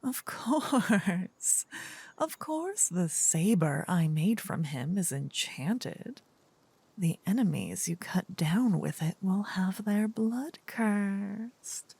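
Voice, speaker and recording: Slow, breathy demon queen, acted by a woman. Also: medium pitch, animated, a little mellow, no echo, full character voice. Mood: smug, explaining. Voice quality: breathy, husky, often whispering. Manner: slow, teasing, doting menace. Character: seductive, possessive evil demon queen, ancient and smug. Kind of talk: fantasy ASMR roleplay, whispered and intimate. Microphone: close, dry microphone.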